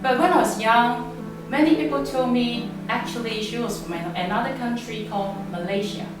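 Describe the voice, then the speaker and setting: A woman from Macau, telling family stories slowly and gently in a live performance. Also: on the high side. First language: Cantonese. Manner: slow, gentle, reflective storytelling. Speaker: artist and storyteller. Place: venue hall with an audience. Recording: echoey hall from far off with music. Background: instrumental music.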